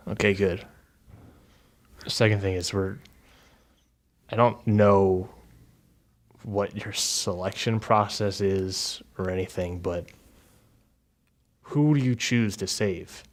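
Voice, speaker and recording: Man acting guarded, blunt, low-voiced negotiator. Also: fairly even intonation, takes it slowly, pauses often. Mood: grave, demanding. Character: guarded, blunt man bargaining for his companions.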